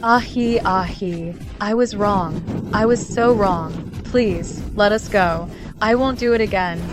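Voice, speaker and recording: A woman's voice: medium pitch, takes it slowly, lightly acted. A woman playing a manipulative, unfaithful girlfriend, plaintive and pleading, in melodramatic radio drama style. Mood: desperate, begging. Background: background music.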